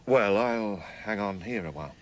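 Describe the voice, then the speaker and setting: An actor playing a sceptical police detective in a radio drama, dry, understated and wryly deferential. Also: male voice, on the low side, lively intonation, normal speed, a little hiss or hum, lightly acted. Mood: noncommittal.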